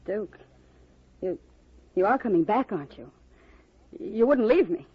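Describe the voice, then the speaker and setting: A woman's voice: mid-range voice, very animated, a little slow, slightly rough. An actress playing a gangster's anxious girlfriend in a 1950s radio drama, fretful and pleading. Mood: needy, bitter. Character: anxious, jittery gangster's girlfriend, wary and reluctant. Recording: muffled, noisy vintage radio recording.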